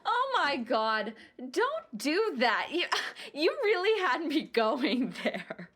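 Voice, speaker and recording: A woman's voice: fairly low pitch, very animated, a little slow, a bit bright, full character voice. An actress playing a down-to-earth, plainspoken mother who works as a secretary in a radio play, talking casually and expressively. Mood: warm, chatty. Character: down-to-earth, chatty mother working as town hall secretary.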